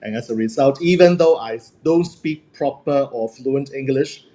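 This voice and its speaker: A man's voice: medium pitch, normal speed, mellow. Upbeat man, first language Cantonese. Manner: upbeat, self-deprecating, laughs often. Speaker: host and speaking coach. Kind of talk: casual group chat.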